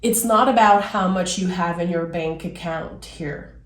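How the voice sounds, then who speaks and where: A woman in her thirties with a raspy, sick-hoarse voice talks slowly through a tarot reading, musing and enthusiastic, with plenty of ums. She sounds like she is in an echoey room. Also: on the low side. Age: 30s. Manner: warm-hearted, enthusiastic, musing aloud with many fillers. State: hoarse from illness. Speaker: tarot reader. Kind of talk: casual spoken tarot reading.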